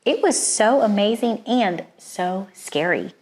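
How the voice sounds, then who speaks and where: Female storyteller, mid-pitched voice, expressive children's read-aloud with deliberate phrasing. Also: slightly rough.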